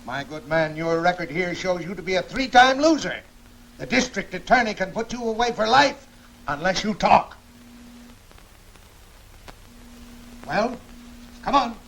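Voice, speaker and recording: Stern American judge, high creaky voice, formal, authoritative, vintage film serial. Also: male voice, lively intonation, moderate pace, fairly bright tone, full character voice. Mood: pressing, threatening. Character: stern male judge questioning a prisoner. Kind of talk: 1940s film serial acting. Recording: noisy old 1940s film soundtrack.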